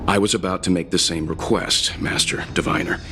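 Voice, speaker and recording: A man voicing a dignified middle-aged gentleman who speaks calmly and courteously, with game music behind. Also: deep voice, a little fast, background noise. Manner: calm and courteous. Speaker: ally in a game cutscene. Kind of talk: game cutscene voice acting.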